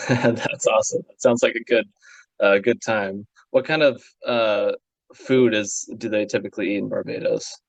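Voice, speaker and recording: A man's voice: lowish voice, steady tone, normal speed, creaky, slightly rough, no room sound, highs cut off. A man hosting a podcast, asking easygoing open questions and laughing often. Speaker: podcast host. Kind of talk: casual interview.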